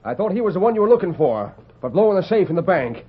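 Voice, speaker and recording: An American actor playing a scheming, rough-spoken bank robber in a vintage radio western. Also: male voice, high voice, sing-song, quick pace, heavily acted. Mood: feigned innocence. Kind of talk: 1940s radio western acting. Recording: muffled, noisy old radio broadcast.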